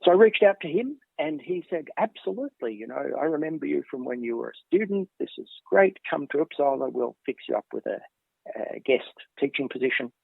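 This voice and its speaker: A man's voice: on the high side, expressive, fairly quick, gravelly, husky, dry recording. An Australian man in his fifties, a professor giving thoughtful interview answers with many ums and restarts. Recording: dry thin phone-like line.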